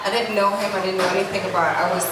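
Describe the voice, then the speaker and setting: A woman's voice: mid-range voice, fairly even intonation, rapid-fire. A middle-aged woman from Jamaica, a guest speaking earnestly about her life, with many restarts. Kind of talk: candid conversational interview. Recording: echoey room from a distance, noisy.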